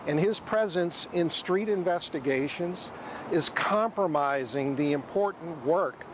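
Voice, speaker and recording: A man's voice: fairly high pitch, measured pace, noisy background. A man giving formal interview comments as a watchdog official. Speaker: watchdog group president being interviewed.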